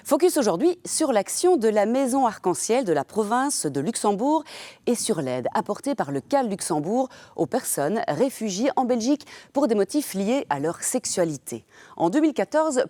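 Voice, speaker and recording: A woman presenting a TV magazine segment, reading a scripted introduction with clear, measured delivery. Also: medium pitch, mellow, no room sound.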